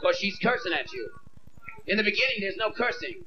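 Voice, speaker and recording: A man's voice: high-pitched, sing-song, brisk. A man in his thirties doing a brash stand-up routine on a theater stage into a handheld mic, acting out bits of dialogue. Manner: brash, acts out voices of women and himself. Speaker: stand-up comedian. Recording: dull lo-fi VHS tape with hiss.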